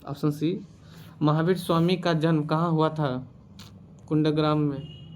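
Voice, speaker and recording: A man's voice: fairly high pitch, steady tone, measured pace, slight background noise. A man teaching as a quiz host, reading out questions and answers in an instructional way and repeating the answers. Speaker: quiz teacher for exam preparation.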